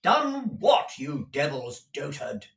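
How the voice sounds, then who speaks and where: A man's voice: mid-range voice, unhurried, a bit bright. Man voicing a stern, menacing usurer, brusque. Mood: fierce demanding. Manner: brusque, demanding questioning. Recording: roomy echo, distant mic.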